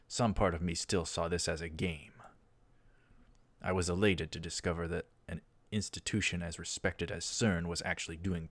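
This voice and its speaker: A man hosting a let's play, reading a visual novel's narration aloud and adding brief asides. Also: sits low. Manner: stumbles over lines and corrects himself.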